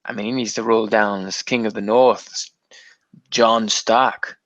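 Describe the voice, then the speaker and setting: A man's voice: on the low side, steady tone, measured pace, fairly bright tone, dry recording, dull audio. A man co-hosting a casual, bantering podcast, talking theatrically and keeping up a put-on accent. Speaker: podcast co-host. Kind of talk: casual banter about TV and video games.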